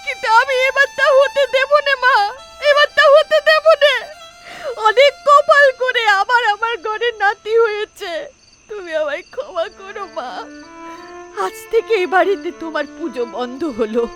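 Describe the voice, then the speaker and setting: A woman's voice: extremely high pitch, moderate pace, over music. A woman voicing a stern, secretive elderly grandmother in a cartoon, with a West Bengali accent and an emphatic, insistent delivery. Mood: tearful defiance. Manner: emphatic, insistent, repeats phrases. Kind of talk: dramatic cartoon voice acting.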